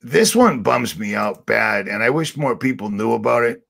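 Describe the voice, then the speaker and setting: Raspy gravelly guy going off on a sarcastic rant, rambling livestream host. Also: mid-range voice, animated, normal speed, a bit bright. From Boston area. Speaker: channel host. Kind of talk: ranting livestream monologue.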